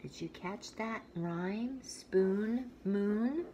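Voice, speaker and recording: A woman's voice: medium pitch, a little hiss or hum. A woman teacher reading a children's picture book aloud expressively, stepping out of the story to talk to young listeners. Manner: expressive, pausing to ask listeners questions.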